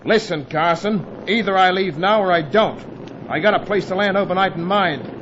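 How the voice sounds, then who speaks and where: Gruff american flyboy guy acting, cynical and slangy, radio serial, dull audio w/ noise behind. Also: high-pitched, moderate pace, fairly bright tone. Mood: hard, impatient. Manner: slangy, curt, callous. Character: gruff, cynical, money-minded American male seaplane pilot.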